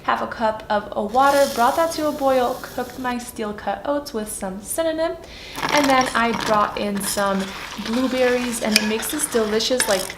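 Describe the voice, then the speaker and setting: A woman's voice: a little high, reverberant, slight background noise. A young woman with a Polish accent mixed with Chicago, chatting casually as a vegan lifestyle vlogger. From Poland. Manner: friendly, chatty, with fillers. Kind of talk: casual cooking vlog with voice-over.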